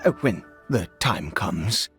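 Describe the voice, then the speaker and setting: Game role: anxious, devoted father, weary and halting, slow delivery with very animated pitch. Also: heavily acted. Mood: anxious, preoccupied. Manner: halting, stammering, with nervous hesitations. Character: weary, anxious father, nervous and desperate, devoted to his ailing son. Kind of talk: video game voice acting, dramatic English dub. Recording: music under the voice. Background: game music.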